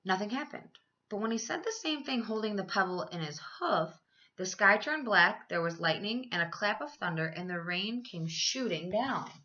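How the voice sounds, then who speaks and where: Female teacher for storybook read-aloud: bright, expressive phrasing, medium pitch, muffled home-recorded sound. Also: moderate pace. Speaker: schoolteacher reading aloud.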